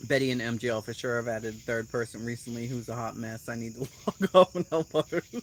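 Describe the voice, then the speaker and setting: A woman with a Boston accent hosting a casual live chat at home, blunt and quick to swear, laughing often as she reacts to comments. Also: extremely low pitch, slight background noise. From Boston area, USA. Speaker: channel host.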